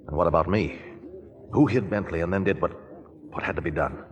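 An actor playing a smooth, controlling lawyer in a 1940s radio drama, speaking in a measured, formal and persuasive way. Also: male voice, sits low, muffled recording, slight background noise, lightly acted. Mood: coolly reassuring. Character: smooth, controlling, scheming lawyer, adult man. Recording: vintage radio, long reverberant tail.

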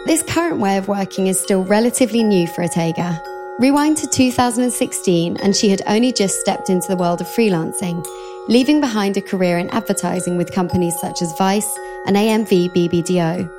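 A woman reading a scripted narration in a clear, measured voice. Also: mid-range voice. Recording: mallet music behind the voice.

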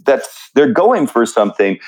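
Man, mid-range pitch, slow pace, halting delivery, reflective interview talk. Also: very animated, darkish tone. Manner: halting, frequently pausing mid-thought. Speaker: musical theatre writer.

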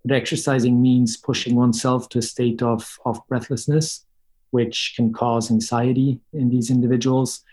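A man's voice: medium pitch, little pitch movement, moderate pace, darkish tone. A man from Switzerland, a podcast guest explaining things in a methodical, conversational way. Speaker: guest, company co-founder. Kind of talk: conversational interview answers.

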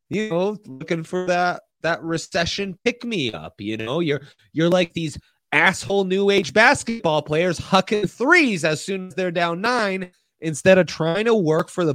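A man's voice: fairly high pitch, no echo, clean studio sound. Joking man hosting a sports chat. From Italy. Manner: joking, sarcastic, animated. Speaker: podcast host. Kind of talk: casual sports betting chat.

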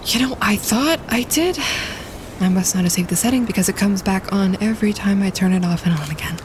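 A woman's voice: mid-range voice, brisk, lightly acted. Audio drama: woman playing anxious, insistent female nature photographer, natural delivery, noisy outdoor ambience. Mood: relieved, wry.

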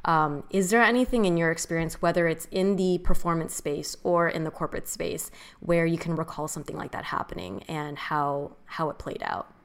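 A woman's voice: low voice, steady tone, moderate pace, hardly any pauses. A woman hosting a podcast, asking thoughtful questions and affirming her guest attentively. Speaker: podcast host. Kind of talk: interview questions.

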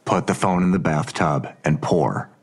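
An actor playing a guarded, middle-aged police detective and worried father in an audio drama, gravelly and terse. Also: sits low, moderate pace. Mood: grave, defensive. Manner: terse, cagey.

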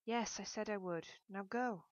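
A woman's voice: mid-range voice, measured pace, no echo, highs cut off, natural voice. A woman voicing a headstrong, sarcastic woman of twenty-four in an audiobook, firm, curt and irritated.

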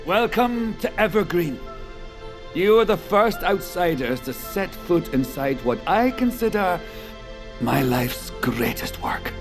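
A visionary scientist showing off his great creation in a sci-fi audio drama. His voice sits fairly high and moves widely, theatrical and sure of itself, with music underneath. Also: lively intonation, full character voice. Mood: proud showman. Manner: theatrical, deliberate, self-assured. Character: grandiose visionary male scientist host unveiling his underground biosphere.

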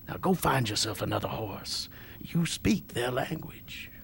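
A man playing a weary old male jockey who talks slowly and drops into hushed whispers. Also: mid-range voice, animated, gravelly, a little hiss or hum. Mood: tender, wise. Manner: slow, dropping to hushed whispers.

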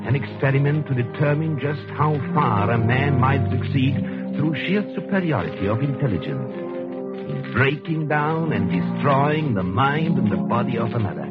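An actor playing a cold, arrogant intellectual murderer in a 1940s radio thriller, speaking in a pompous, measured, condescending way. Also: male voice, mid-range voice, big pitch swings, over loud music, close to an everyday voice. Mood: gloating, musing. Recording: dull 1940s radio recording.

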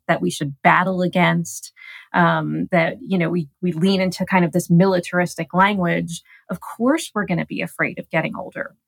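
A woman speaking as an expert guest on a radio interview, explaining ideas in an articulate, measured way. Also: lowish voice, gravelly, dry recording. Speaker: gerontologist guest.

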